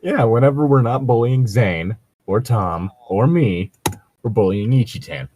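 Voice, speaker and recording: A man's voice: mid-range voice, unhurried, warm tone, slight background noise. A man with a rambling, sweary, giggly way of talking, hosting a comedic show of casual banter and reading aloud. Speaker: host of a bad fan fiction reading show.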